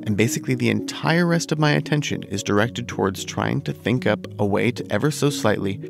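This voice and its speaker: Guy with a cold doing a measured game breakdown, gravelly voice, music playing under it. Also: fairly low pitch. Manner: measured, analytical. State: recovering from a cold. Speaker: gaming video host. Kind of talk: scripted game commentary.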